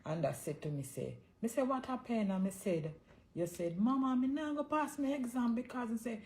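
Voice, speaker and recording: A woman's voice: on the high side, normal speed, darkish tone. A middle-aged woman with a Jamaican accent, reminiscing casually about family life and repeating her words emphatically to hold the floor. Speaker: mother of the host, joining the story.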